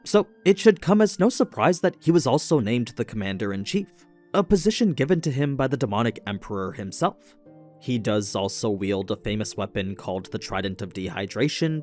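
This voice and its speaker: A man reading scripted narration in a measured explainer manner, as for a recap video. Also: mid-range voice, darkish tone. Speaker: narrator of an anime lore recap show. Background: background music.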